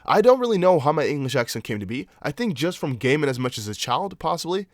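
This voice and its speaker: A young man whose first language is Dutch, speaking English with a Dutch accent. He hosts a casual crypto livestream, chatting loosely, reading chat aloud and thinking out loud with lots of fillers. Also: on the high side, very animated, quick pace, dry recording, clean studio sound. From Netherlands. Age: young adult. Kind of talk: casual livestream chart analysis.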